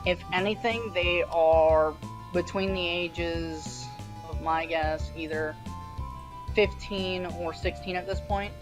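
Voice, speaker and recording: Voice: medium pitch, steady tone, slow pace. A person talking casually in a tentative, speculative way. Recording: quiet voice under clear music. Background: music.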